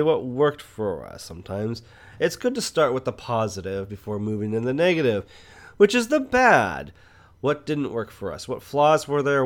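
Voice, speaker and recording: A man presenting a film review podcast in a measured, explanatory way, partly from notes. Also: medium pitch. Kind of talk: semi-scripted podcast presenting.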